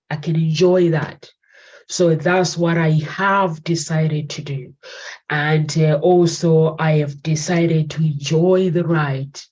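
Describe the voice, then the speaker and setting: A woman's voice: deep voice, darkish tone, husky. A woman in her forties hosting a talk show, giving an earnest, reflective motivational monologue that circles back to repeat her key phrases. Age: 40s. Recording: dull lo-fi recording.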